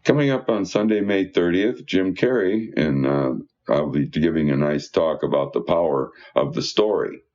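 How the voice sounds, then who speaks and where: A man's voice: low-pitched, moderate pace, few pauses, darkish tone, lo-fi sound. A man reading church newsletter announcements aloud in a folksy, conversational way with informal asides.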